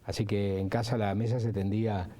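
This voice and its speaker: A man's voice: lowish voice, flat delivery, brisk, warm tone. A man with an Argentine accent reminisces reflectively in an interview, often ending phrases with a tag question.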